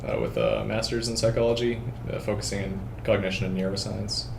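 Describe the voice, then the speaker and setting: A young man from Canada answers interview questions in a measured, hesitant way. His voice is low and gravelly, and it sounds roomy with a faint hiss behind it. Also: flat delivery. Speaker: panel guest, researcher.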